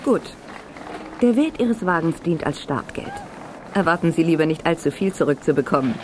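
A woman's voice: sits low, animated, normal speed, darkish tone. An actress playing a cool, guarded businesswoman in an audio drama, on a noisy old cassette. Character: cool, guarded businesswoman running a race registration.

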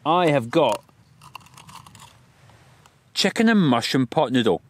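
A man's voice: mid-range voice, big pitch swings, takes it slowly. A man in his forties hosting an outdoor vlog on a hilltop, talking to a camera held at arm's length in a chatty, upbeat, self-mocking way. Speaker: vlog host, landscape photographer. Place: open windy hilltop outdoors.

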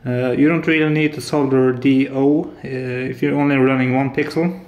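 A man's voice: medium pitch, fairly even intonation, normal speed, lo-fi sound. A man in his thirties from Norway with a Norwegian accent, showing a hobby electronics build at home in a hesitant way with lots of filler sounds. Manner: hesitant, many filler sounds, soft-spoken. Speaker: hobbyist maker. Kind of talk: casual tech demo. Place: apartment living room and kitchen, indoors. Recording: echoey room.

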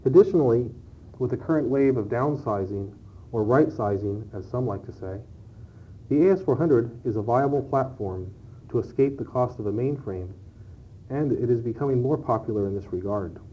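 A man's voice: mid-range voice, animated, moderate pace. A man giving scripted product answers as an interview guest on an old cassette. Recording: dull, noisy early-1990s cassette tape.

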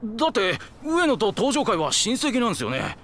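A man playing a slow-witted, eager young yakuza underling in a game cutscene, deferential and naive, in casual junior slang. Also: sits high, wildly swooping pitch, fairly bright tone, full character voice. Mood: naive questioning. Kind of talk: video game cutscene acting.

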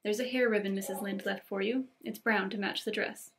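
A woman's voice: mid-range voice, fairly even intonation, normal speed, a little hiss or hum, close to an everyday voice. A woman voicing a stern, practical older woman in a storybook read-aloud, bossy and matter-of-fact. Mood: brisk, practical.

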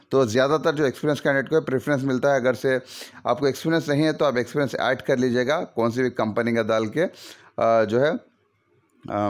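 A man from India reading through job adverts in a loose, chatty voice-over. He repeats his advice and drops in frequent "okay"s as he goes. His voice sits at an ordinary middle pitch. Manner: chatty, repetitive, advisory, with many fillers like "okay". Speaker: YouTube job-vacancy channel host.

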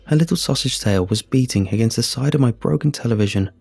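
A man narrating a scripted first-person horror story in a measured, solemn, husky voice. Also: mid-range voice, a little mellow. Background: music.

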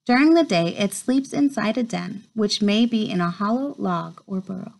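A woman in her thirties reading a children's nature book aloud at home, with measured storytime phrasing. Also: mid-range voice, animated, vocal fry, a little mellow, low-quality recording. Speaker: read-aloud presenter. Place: small furnished room at home, indoors.

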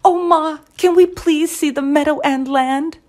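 A woman's voice: high-pitched, sing-song, takes it slowly, fairly bright tone, pitched above the performer's own voice, exaggerated voice. A woman voicing eager, childlike little chicks with a chirping manner in a storybook. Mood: pleading. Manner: chirping, squealing, pleading.

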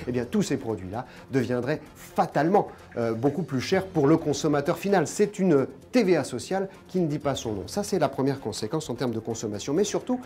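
A man's voice: mid-range voice, animated, brisk, mellow, soft background music. A man in his forties, an economics journalist giving an emphatic, step-by-step explanation to camera on a lapel mic. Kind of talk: explanatory news commentary to camera.

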